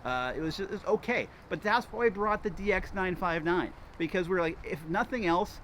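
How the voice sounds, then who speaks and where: A man's voice: fairly high pitch, fairly bright tone, slight background noise. An American man chatting casually and enthusiastically in a folksy way, as the host of a hobby vlog. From Wisconsin, United States. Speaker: host of a ham radio hobby channel. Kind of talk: casual vlog chat, reading out viewer responses.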